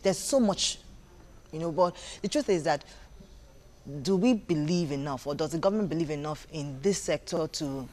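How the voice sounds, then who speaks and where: A woman's voice: low-pitched, animated, moderate pace, a little mellow. A woman with a Nigerian accent talking as an interview guest, conversational and emphatic, repeating phrases for stress. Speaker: interview guest, playwright and stage director. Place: TV breakfast show studio.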